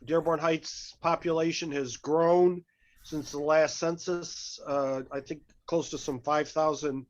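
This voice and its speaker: A man gives a forum answer as a candidate. His voice is on the high side and he talks slowly, hesitating often within phrases. The sound is somewhat dull. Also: steady tone. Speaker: city council candidate.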